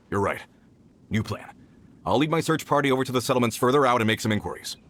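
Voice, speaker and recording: A dutiful search-party leader from a video game, acted by a man, talks in a businesslike, decisive way. The mid-range voice is quite animated, over faint background ambience. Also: mid-range voice, a little fast, slight background noise, lightly acted. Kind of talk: video game character acting.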